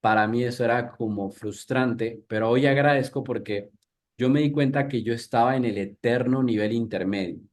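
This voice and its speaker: A man's voice: medium pitch, moderate pace. A man in his thirties from Ecuador, an English teacher giving a live workshop in a conversational way, with frequent restarts and questions to the audience. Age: 30s.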